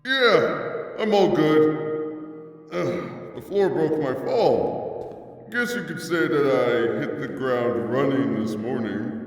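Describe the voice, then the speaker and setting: A man's voice: mid-range voice, animated, slow, no echo. A man voicing a wry, drowsy, laid-back skeleton brother in a cartoon comic dub, with a casual, joking drawl.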